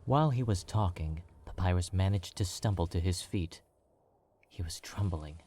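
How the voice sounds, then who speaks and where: A male narrator with a very low, deep voice reads the story in a calm and measured way. His tone stays steady and controlled as he carries the prose.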